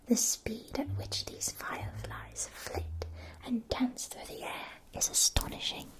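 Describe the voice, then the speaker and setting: A woman playing a gentle, attentive magic-shop keeper in a scripted ASMR roleplay, whispering softly with careful articulation. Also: fairly high pitch, full character voice, poor audio. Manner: soft, slow, careful articulation. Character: gentle, attentive female shopkeeper of magical school supplies.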